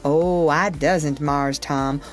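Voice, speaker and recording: A woman's voice: low-pitched, fairly even intonation, takes it slowly, soft background music. Woman doing a timid, hesitant errand boy. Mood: reluctant.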